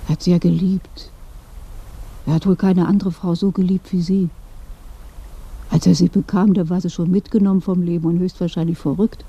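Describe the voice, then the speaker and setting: A woman's voice: on the low side, little pitch movement, moderate pace, pauses often, dull audio. An actress playing an old dead woman who gossips knowingly and matter-of-factly from her grave in a radio play. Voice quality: creaky, mellow. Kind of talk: German radio play dialogue.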